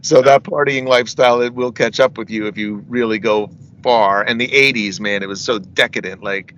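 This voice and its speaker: A man's voice: medium pitch, fairly even intonation, a little fast, fairly bright tone, dull audio, a little hiss or hum. A middle-aged man, a metal singer, telling rambling stories as an interview guest, often asking "you know what I mean" and laughing between anecdotes. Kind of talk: casual storytelling interview.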